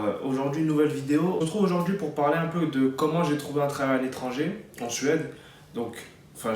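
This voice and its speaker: A man's voice: medium pitch, very echoey, far from the mic, a little hiss or hum. A man in his late twenties from France, giving casual advice in a chatty vlog, with many fillers and restarts. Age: 20s. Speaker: vlogger.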